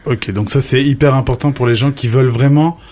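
A man of Portuguese origin interviewing a guest in a relaxed chat. He talks hesitantly, restarting his sentences and hunting for the right term. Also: mid-range voice, brisk. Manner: hesitant, restarts, searches for words. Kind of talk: casual conversation.

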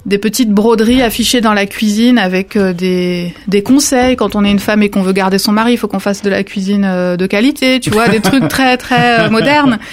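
A woman from a gallery's front desk describing artworks in an easygoing chat. She explains at length, filling her pauses with frequent hesitations. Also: mid-range voice, slight background noise. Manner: many fillers, explanatory. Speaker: museum visitor services staff. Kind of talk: casual conversation.

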